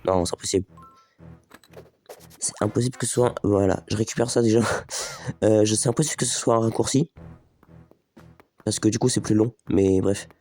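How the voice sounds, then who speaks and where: A man with a slightly stuffy voice from a cold talks his way through a video game, speaking straight to his viewers and thinking aloud. His voice sits low and warm. Faint music plays behind him. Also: steady tone, moderate pace, long pauses. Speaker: gaming video host. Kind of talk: casual let's play commentary.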